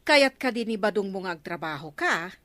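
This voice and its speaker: A woman's voice: medium pitch, expressive, moderate pace, a bit bright, no echo, lightly acted. A woman playing a sympathetic, practical village friend in an Ilocano radio comedy drama, conversational and inquisitive, with an Ilocano accent. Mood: helpful.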